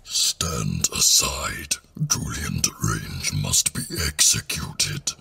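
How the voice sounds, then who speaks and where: Extremely low growl, very raspy, male actor as hulking rhino alien cop, blunt, merciless, clipped orders. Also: sing-song, extremely slow, pushed and bright, exaggerated voice. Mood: commanding. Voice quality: gravelly, rasping. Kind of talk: fan audio drama acting.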